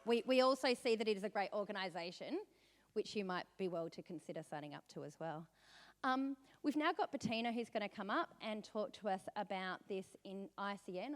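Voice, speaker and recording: Woman hosting in a hall. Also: mid-range voice. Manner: reassuring, explanatory. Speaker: event host. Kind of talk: announcements to an audience. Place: hall at a business briefing. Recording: long hall reverb.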